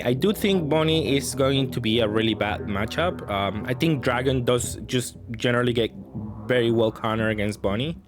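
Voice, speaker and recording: A man giving casual card game commentary, thinking aloud and hedging his choices as he plays. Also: mid-range voice.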